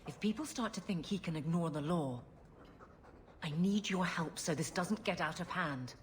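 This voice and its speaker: A woman's voice: fairly low pitch, moderate pace, a little hiss or hum, close to an everyday voice. An actress playing an earnest, worried female guard captain. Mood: urgent.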